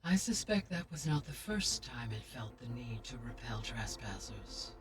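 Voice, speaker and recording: A calm, formal AI guardian. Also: female voice, a bass voice. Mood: measured. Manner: measured, precise and formal. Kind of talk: video game dialogue. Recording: distant game audio with music.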